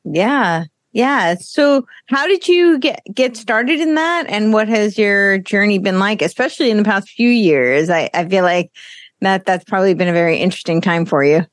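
A woman's voice: medium pitch, moderate pace, no room sound, studio quality. A woman hosting a podcast interview, asking friendly, inquisitive questions. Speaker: podcast host.

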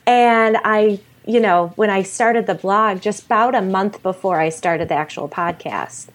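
A woman's voice: mid-range voice, measured pace. Giggly American woman chatting casually. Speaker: podcast guest, horror storyteller. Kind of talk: casual chat.

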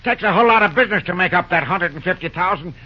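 An actor playing a wary backwoods railroad hand with a husky voice and rustic dialect in an old-time radio drama. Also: male voice, sits high, expressive, rapid-fire, bright, forceful tone, muffled recording, full character voice. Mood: worried, cautioning.

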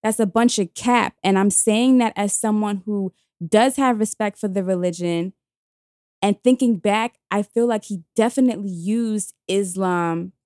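A woman's voice: medium pitch, a little slow, darkish tone, no echo, clean studio sound. A woman, telling a personal story bluntly and emphatically in slangy casual chat. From Queens, New York.

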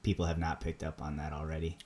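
A man with a Minnesota accent, co-hosting a podcast in a relaxed chat, laughing often and repeating words as he starts sentences. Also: rumbling low, fast talker. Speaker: podcast co-host. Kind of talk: casual chat.

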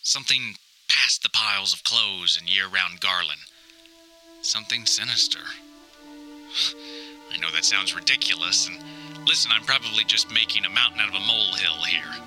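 A man's voice: sits low, normal speed, pauses often, pushed and bright, faint music behind, low-quality recording. A man playing an anxious, wry grown son recording a wordy, mock-dramatic audio diary. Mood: wary, ominous. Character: anxious, wry grown son lost in hoarder mother's house. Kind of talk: scripted comic audio diary monologue.

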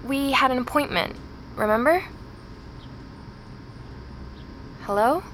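An actress playing an earnest, rattled young woman investigator in a naturalistic audio drama, speaking haltingly as she records her search. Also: high voice, a bit bright, rough recording. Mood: flustered, insistent. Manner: hesitant, halting, with repeated words. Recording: street and vehicle noise behind.

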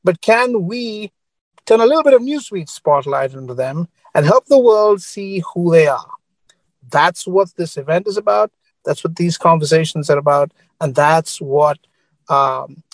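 Earnest Sri man, raised pitch, dry close mic, exec guest on a panel. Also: moderate pace, no echo, low-quality recording. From Sri Lanka. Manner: earnest, keeps adding a checking "right?". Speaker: media executive guest.

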